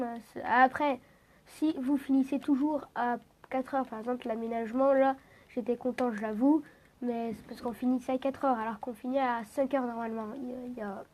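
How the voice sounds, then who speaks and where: A woman's voice: on the high side, a little mellow. A teenage girl with a head cold, talking a bit through her nose, rambling casually over a game with lots of fillers and restarts. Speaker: gaming vlogger.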